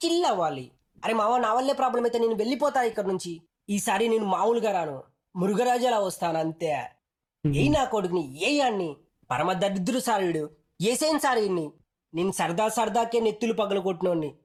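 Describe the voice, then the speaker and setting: A woman's voice: mid-range voice, lively intonation, fairly quick, a little mellow, husky. A woman with a Telugu accent delivering short punchy game voice-pack callouts in a slangy, bossy, teasing way.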